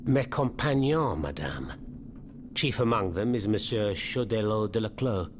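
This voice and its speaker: An actor playing a fervent, florid French revolutionary in a video game, speaking with a French accent. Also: male voice, lowish voice, animated, unhurried, noisy background. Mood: curious, probing. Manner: theatrical, florid, eloquent. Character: theatrical, fervent male French revolutionary hiding underground. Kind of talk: video game cutscene acting.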